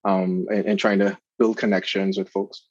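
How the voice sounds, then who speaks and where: A man from Trinidad and Tobago with a Trinidadian accent, a guest talking in a relaxed, friendly interview with plenty of fillers. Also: low voice, moderate pace, dry recording, lo-fi sound. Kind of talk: casual interview conversation.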